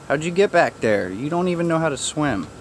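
A man casually showing viewers around his backyard garden outdoors, chatty and relaxed, joking with his animals. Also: a little high, a little fast, background noise. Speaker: gardener giving a backyard tour. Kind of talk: casual walk-through narration.

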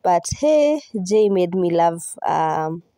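A woman's voice: fairly low pitch, sing-song, takes it slowly, dark tone, no echo, studio quality. A woman with a Kenyan accent giving a chatty, casual vlog voice-over, now and then slipping into Swahili. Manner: chatty, code-switches into Swahili. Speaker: vlogger, host. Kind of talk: casual voice-over narration of a home cooking routine.

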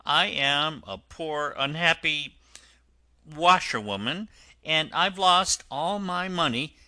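A man's voice: fairly high pitch, animated, slow pace, bright, forceful tone, pitched above the performer's own voice, heavily acted. A man doing a sobbing toad posing as a washerwoman. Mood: wailing. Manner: sobbing, wailing and self-pitying. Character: vain male toad disguised as a weepy washerwoman.

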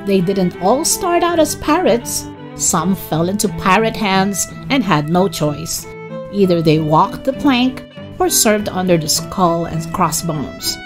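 A woman narrates a children's story in a dramatic, expressive way. Her pitch moves a great deal and she takes her time, with faint music underneath. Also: slow.

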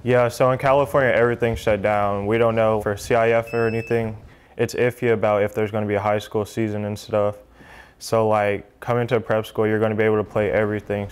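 Flat-voiced laid-back young player. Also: male voice, on the low side, brisk, clean tone. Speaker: high school basketball player. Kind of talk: casual interview.

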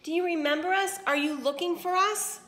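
A woman's voice: very high voice, fairly quick, bright, forceful tone, voice pushed up. A woman voicing silly, vain young sisters in a storybook, coy and gushing. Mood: coy, eager.

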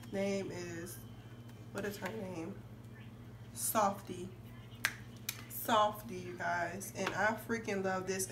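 A young woman with a somewhat hoarse, congested voice chatting casually and emphatically to camera as a beauty vlogger. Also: mid-range voice. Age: young adult. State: hoarse from a cold, clearing throat. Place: indoor room with curtains. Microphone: phone facing her, a few feet away. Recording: distant phone mic, noisy room.

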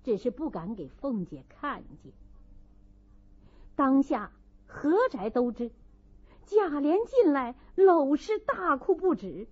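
A woman's voice: sits high, sing-song, slow, pauses often, darkish tone, dull audio. A woman narrating a classic novel as a dramatic audiobook.

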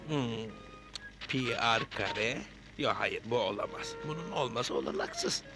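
A man playing a wise old fatherly gnome leader in a cartoon dub, giving instructions with gravelly authority. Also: mid-range voice, measured pace, loud music behind the voice, close to an everyday voice. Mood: thoughtful, firm.

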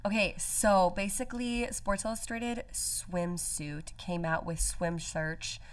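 A woman's voice: medium pitch, takes it slowly, no echo, distant mic. A woman in her late twenties, a podcast guest, chatting casually and earnestly about her career, with many "like" fillers. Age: 20s. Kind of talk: casual interview chat. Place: podcast studio with window. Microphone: studio mic on stand, headphones.

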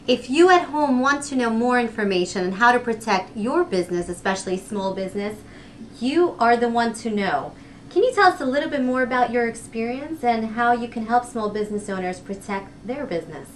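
A woman's voice: a little high, animated, a little hiss or hum. Enthusiastic woman hosting a TV interview. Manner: enthusiastic, spells out web address slowly. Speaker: interviewer, community TV host. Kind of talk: upbeat promotional interview. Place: office room with red wall. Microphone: handheld mic.

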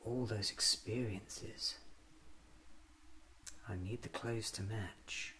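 A man in his thirties doing an ASMR roleplay monologue, often whispering and musing to himself in short halting phrases, recorded with ambient noise. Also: fairly low pitch, steady tone, sharp tone, low-quality recording. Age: 30s.

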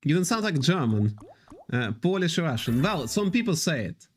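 Lively man streaming casino slots. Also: fairly high pitch, normal speed, soft background music. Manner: reads and answers chat, loose non-native English with repetitions. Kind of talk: live stream chat with viewers.

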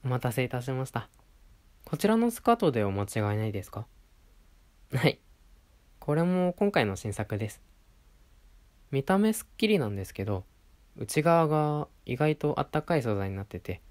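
A man acts out a male clothing store clerk in a situation-voice roleplay. He has a mid-pitched voice with lively intonation. He speaks in courteous customer-service style, attentive to the customer he is addressing. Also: moderate pace, long pauses, lightly acted. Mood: helpful, friendly. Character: polite, attentive male clothing shop assistant serving a regular. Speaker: voice performer in a situation voice audio.

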